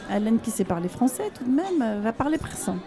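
A woman hosting a live event interview, asking curious questions in a lively way. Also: a little high, fast talker. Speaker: host. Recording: outdoor crowd noise. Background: crowd chatter.

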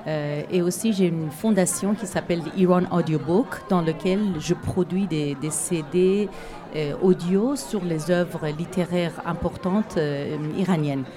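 A woman's voice: lowish voice, moderate pace, music in the background. A woman who teaches English and organizes cultural events, explaining her work in a friendly way. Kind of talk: interview answers. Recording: outdoor crowd noise. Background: crowd chatter.

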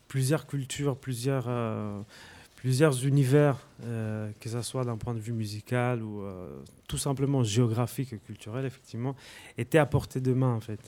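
A young man with an Italian accent answers interview questions as a musician guest. He talks haltingly, stopping often with fillers and restarting his phrases, in a voice of medium pitch. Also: dark tone. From Italy.

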